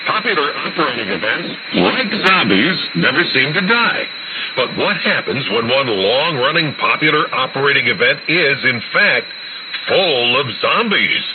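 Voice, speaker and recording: A man's voice: medium pitch, very animated, moderate pace. A man reading a playful scripted feature for amateur radio news, heard over shortwave radio. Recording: noisy narrow-band shortwave radio reception. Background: shortwave static.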